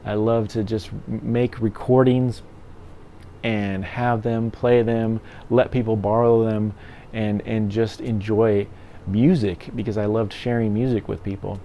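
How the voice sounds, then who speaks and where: Male vlogger, middle-aged. Very mellow, lowish voice, loose rambling delivery, noticeable background noise. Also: flat delivery, unhurried. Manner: rambling, conversational. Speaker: music gear reviewer and vlogger. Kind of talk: casual opinion vlog.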